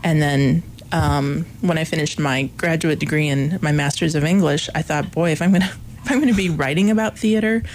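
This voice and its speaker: A woman from Illinois, a theater professor, being interviewed on college radio; she says she has a loud voice and laughs between her thoughts. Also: low voice, a little fast, slight background noise, rough recording. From Illinois, USA. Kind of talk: interview conversation.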